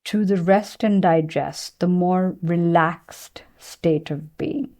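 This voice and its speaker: A woman leads a guided meditation in a soft, very mellow tone that sits a little low. She speaks very slowly and gently, leaving room between phrases as she gives soothing instructions. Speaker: meditation and yoga teacher.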